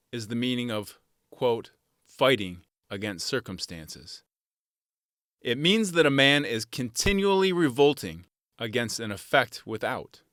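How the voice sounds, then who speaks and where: A man narrating an audiobook from a script in a measured, emphatic and formal way. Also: lowish voice, a bit bright, no room sound, clean studio sound.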